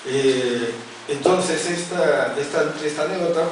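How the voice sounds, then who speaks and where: A middle-aged man from Peru's Amazon region, an author presenting his book and telling a story in a halting way, with many restarts and fillers. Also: fairly high pitch. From Peru, Amazon region. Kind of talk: informal talk at a book presentation. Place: large echoey arts center hall. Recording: echoey hall from far off with noise.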